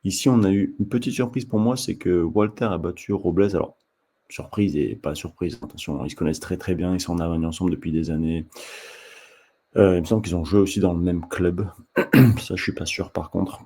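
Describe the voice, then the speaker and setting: A man who hosts his own channel, giving casual spoken commentary straight to the viewer, often halting and restarting mid-sentence. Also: sits low, steady tone, warm tone, breathy edge. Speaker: table tennis coach and channel host.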